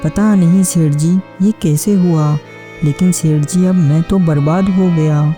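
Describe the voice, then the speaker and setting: Cartoon lead: humble earnest village man played by a woman, low and mellow, plaintive, soft music behind. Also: steady tone, unhurried, close to an everyday voice. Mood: distressed. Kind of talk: Hindi animated moral story dialogue.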